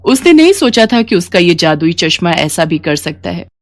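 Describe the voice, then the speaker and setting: A woman's voice: fairly low pitch, big pitch swings, normal speed, no room sound. A woman narrating a scripted moral bedtime story as a storyteller.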